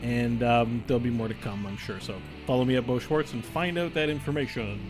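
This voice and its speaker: A man's voice: medium pitch, brisk, over music. Dry-humored podcast co-host, man. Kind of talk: casual podcast banter.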